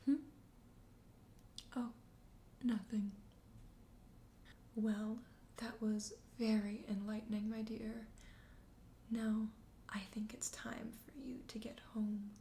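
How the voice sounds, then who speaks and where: A woman acts out a suave vampire host in an ASMR roleplay, murmuring in a soft, breathy whisper with a dark, mellow tone. The delivery is slow, coaxing and flirtatious, set in a roomy, echoing space. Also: a little high, level tone. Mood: gentle, charming. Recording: roomy echo, faint background noise.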